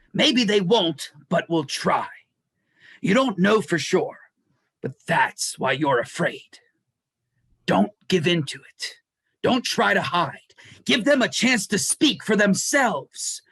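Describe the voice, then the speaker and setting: A man playing a blunt, fatherly talking teddy bear in a play reading, with a gravelly, husky voice. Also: sits high, animated, takes it slowly, fairly bright tone, heavily acted. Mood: gently encouraging. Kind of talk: dramatized play script reading.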